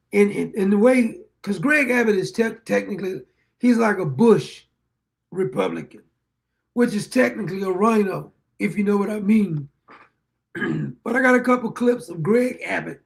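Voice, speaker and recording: A man hosting a political talk show, ranting bluntly and rambling, his voice going hoarse as he keeps clearing his throat. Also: sits high, a little mellow. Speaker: show host. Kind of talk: political commentary monologue.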